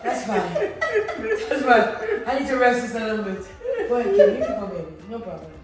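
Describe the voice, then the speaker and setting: Hoarse woman, 50s, laid-back and affirming, distant roomy recording. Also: on the high side, lively intonation, a little fast, a little mellow, faint music behind. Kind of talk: casual group chat. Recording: echoey room, away from mic.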